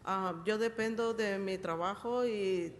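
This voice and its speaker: A housekeeper speaks hesitantly, leaning on fillers between short phrases. Her voice sits at a middle pitch. The chamber's long reverb and a faint room hum surround her. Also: moderate pace. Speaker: hotel housekeeper giving public comment. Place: large council chamber.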